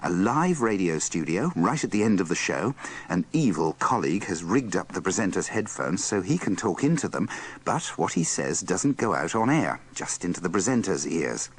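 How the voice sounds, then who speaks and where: British man, radio presenter, husky low voice, hugely varied intonation, tongue-in-cheek, scripted links. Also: big pitch swings, normal speed. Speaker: presenter of a radio bloopers compilation. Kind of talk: wry scripted links between archive clips.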